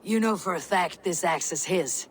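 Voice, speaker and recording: A woman's voice: lowish voice, moderate pace, a little hiss or hum, close to an everyday voice. A woman playing a stern, stoic female Viking warrior in a video game. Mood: stern, probing. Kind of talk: dramatic video game acting.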